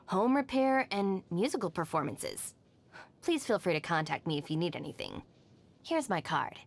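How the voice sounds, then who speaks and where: A woman's voice: mid-range voice, lightly acted. An actress voicing a capable, no-nonsense young female gang deputy in a video game, matter-of-fact and dryly confident. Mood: businesslike, composed. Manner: matter-of-fact, composed, brisk. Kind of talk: video game character voice lines.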